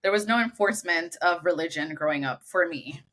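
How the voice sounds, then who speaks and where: Bright-voiced American woman chatting as guest. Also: fairly low pitch, fairly quick. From Los Angeles, United States. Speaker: podcast guest. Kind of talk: casual conversational interview.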